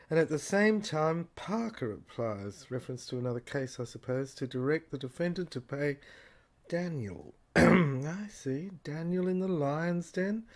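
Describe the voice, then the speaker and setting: A man playing a dry, muddled police officer in an amateur audio drama, muttering haltingly as he puzzles through files. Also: a little high. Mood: muddled, musing. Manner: halting, muttering, often clearing throat. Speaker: actor in a serial audio drama.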